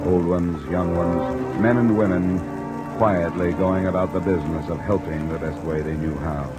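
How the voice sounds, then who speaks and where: An actor playing a terse, dry, persistent American insurance investigator in a 1950s radio detective drama. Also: male voice, low-pitched, close to an everyday voice, rough recording. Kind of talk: 1950s radio detective acting, first-person narration.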